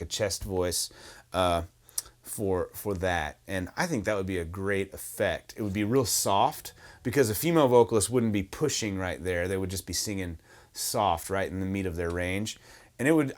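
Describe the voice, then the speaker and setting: A man with a baritone voice teaching a worship song on guitar, talking in a relaxed, self-deprecating way full of ums and uhs. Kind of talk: casual guitar tutorial talk. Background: acoustic guitar playing.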